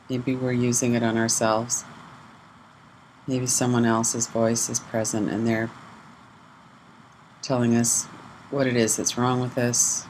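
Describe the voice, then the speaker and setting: A meditation teacher gives slow mindfulness instructions in short, measured phrases. The voice stays very even in pitch, with pauses between thoughts. A faint steady hum sits behind it. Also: rough recording.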